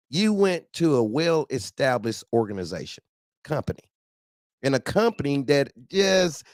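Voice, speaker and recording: American man, talk host, slightly high voice, slangy, repetitive for emphasis, casual heated chat, dry sound. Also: a little mellow, no echo. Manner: slangy, colloquial, repeats phrases emphatically. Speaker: host of a trucker talk show.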